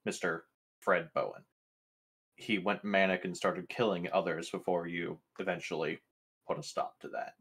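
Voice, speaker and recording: Male tabletop player, deep register, slow halting pace, deliberate in-character questioning.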